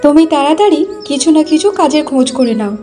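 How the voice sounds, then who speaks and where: Worried cartoon wife, played by a woman: high pitch, insistent, complaining, over faint music. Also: lively intonation, fast, mellow. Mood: exasperated. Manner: reproachful, insistent. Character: worried, nagging wife of a poor villager. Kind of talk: cartoon story dialogue.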